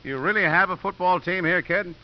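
A man's voice: sits high, fairly quick, soft background music, lightly acted. An actor playing a sardonic football coach and father in a 1950s radio drama, with a dry, wisecracking manner. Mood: dry, wry. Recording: dull, noisy 1950s radio recording.

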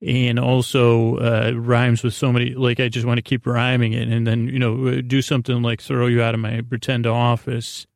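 A man hosting a sleep podcast with a rambling, improvised monologue, halting and circling back on himself in an unhurried, lulling way. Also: mid-range voice, completely flat delivery, gravelly, no echo, studio quality. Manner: halting, meandering, self-interrupting, with trailing repetitions. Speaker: podcast host.

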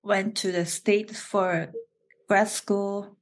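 Slow-speaking Korean-accented woman. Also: mid-range voice, flat delivery. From South Korea. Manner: halting, with frequent restarts and laughs. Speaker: guest. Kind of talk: casual interview.

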